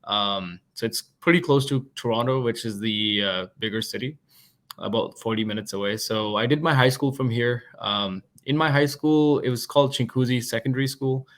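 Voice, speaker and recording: Man, twenties, podcast guest, relaxed chatty storytelling, medium pitch. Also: normal speed. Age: 20s. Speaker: guest, product manager. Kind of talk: casual interview talk.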